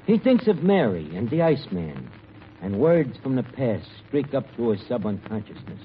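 A man's voice: medium pitch, big pitch swings, normal speed, lightly acted. A man playing a mock-suspenseful soap-opera narrator in an old-time radio comedy. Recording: muffled, noisy vintage radio recording.

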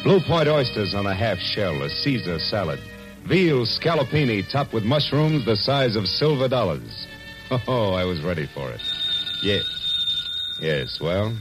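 A wry, tired American private eye from a 1940s radio drama. His voice sits low and he talks in sardonic, hard-boiled wisecracks. It is an old radio recording with music behind him. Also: sing-song, moderate pace, poor audio. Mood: wistful, wry. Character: wry, weary, hungry male private detective. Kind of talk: 1940s radio noir narration and acting.

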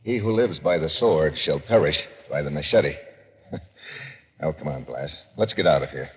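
Deep, hard-boiled radio adventure hero. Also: male voice, expressive, moderate pace, faint music behind. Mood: wry, dry. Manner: terse, slangy, hard-boiled. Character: tough, wry, slangy male adventurer hero. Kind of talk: 1950s radio drama acting. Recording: old radio recording, muffled, boomy reverb, hiss.

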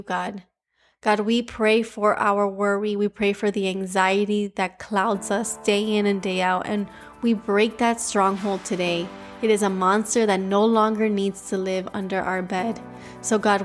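A young woman with a Cuban accent hosting a devotional podcast, teaching scripture and leading prayer in an earnest, emphatic way. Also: medium pitch.

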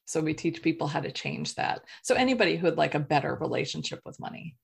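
A woman life and business coach, answering interview questions in a calm, measured, explanatory way. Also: low-pitched, gravelly, breathy edge.

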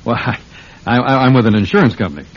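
A man's voice: mid-range voice, lively intonation, quick pace, natural voice. An actor playing a polite, persuasive businessman with a shady offer, courteous and a little hesitant, in an old-time radio drama. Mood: coaxing. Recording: muffled old radio recording.

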